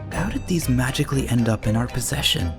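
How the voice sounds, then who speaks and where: A man voicing an earnest, caring, easily exasperated young protagonist in an anime-style audio drama, slightly husky. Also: mid-range voice, lively intonation, fast talker, music in the background, natural voice. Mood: puzzled.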